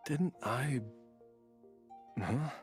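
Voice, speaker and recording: A humble, dazed father in a game. His delivery is very slow and halting, with a swooping, timid uncertainty over light music. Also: mid-range voice, lively intonation. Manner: hesitant, halting. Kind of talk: video game voice acting.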